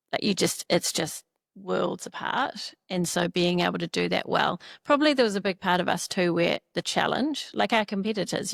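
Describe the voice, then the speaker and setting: A woman's voice: lowish voice, gravelly, no echo, studio quality. A woman from New Zealand with a New Zealand accent, co-founder of a startup, chatting in a casual interview, full of "you know" and "like".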